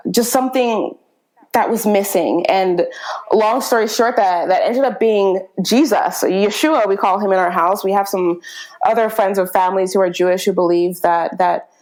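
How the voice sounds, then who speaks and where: A woman from northern California talks casually as a podcast guest, with a voice of ordinary, middle pitch. She speaks in loose, conversational bursts, restarting her sentences and laughing now and then as she goes. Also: normal speed, darkish tone. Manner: frequent restarts, laughs while talking.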